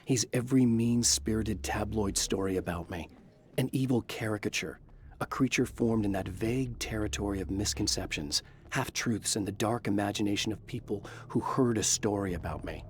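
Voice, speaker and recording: An actor playing a brooding, tormented novelist in a video game, narrating gravely and with measured delivery like noir. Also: male voice, fairly low pitch, slight background noise, lightly acted. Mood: grim. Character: brooding tormented bestselling crime novelist hero, adult man. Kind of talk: scripted first-person noir narration.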